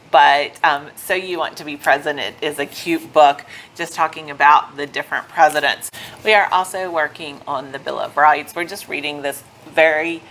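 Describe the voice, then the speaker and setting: A woman with a fairly low, clearly bright voice talks casually to viewers as a homeschooling mother. She is chatty and explanatory, keeping things informal. There is noticeable noise behind her. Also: low-quality recording. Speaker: homeschooling mother, video host. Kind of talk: casual video update.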